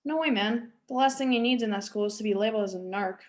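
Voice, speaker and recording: A woman's voice: mid-range voice, fast, close to an everyday voice. A woman playing a bold, teasing teenage boy in a table read of a script. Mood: frank.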